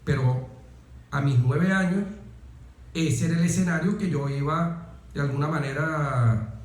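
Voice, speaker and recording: A man from Venezuela with a Venezuelan accent, telling personal stories as an interview guest, phrasing deliberately and adding little tag questions. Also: a little high, darkish tone. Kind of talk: reflective personal storytelling in an interview. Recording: very echoey, reverberant room.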